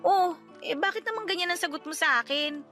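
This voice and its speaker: Bright, high-pitched chatty Filipino wife. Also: female voice, brisk, soft background music. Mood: annoyed, questioning. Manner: chatty, colloquial, nagging. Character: talkative wife in her thirties, eager, stubbornly in denial. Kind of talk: Filipino radio drama acting.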